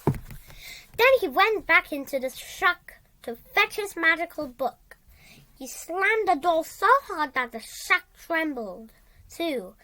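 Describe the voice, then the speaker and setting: A woman reads a children's storybook aloud in a high voice with lively, swinging intonation. She takes her time and moves haltingly through the sentences. She often repeats or corrects a word. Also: low-quality recording. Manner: halting, repeats and corrects words while reading. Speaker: storybook reader.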